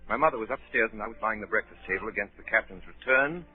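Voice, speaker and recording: An actor playing a man who narrates a boyhood adventure in a measured, theatrical 1930s radio style. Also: lowish voice, close to an everyday voice. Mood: grave storytelling. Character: grown male narrator recalling a boyhood adventure. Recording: tinny old 1930s radio broadcast.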